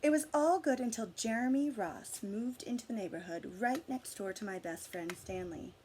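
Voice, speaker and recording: A woman reading a picture book aloud to children, with dramatic emphasis, drawn-out words and asides to her listeners. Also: on the high side, a little mellow, a little hiss or hum. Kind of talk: storybook read-aloud with asides and questions to listeners.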